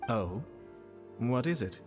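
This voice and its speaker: A man voicing a courteous, composed young nobleman in a video game, speaking formally and with measured politeness. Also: medium pitch, expressive, big-room sound, over music. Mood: calm, probing.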